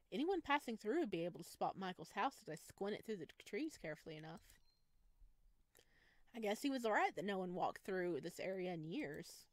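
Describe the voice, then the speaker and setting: A woman streamer reading a visual novel aloud in expressive scripted narration, close to the microphone. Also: mid-range voice, dry recording.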